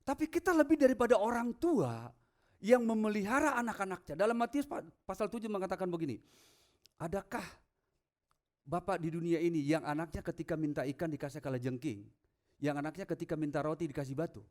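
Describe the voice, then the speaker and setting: Man, pastor, high-pitched, emphatic preaching, rhetorical questions, shifting from hushed to loud. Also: lively intonation, brisk. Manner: emphatic, swings from low asides to loud proclamation. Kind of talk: sermon with scripture readings.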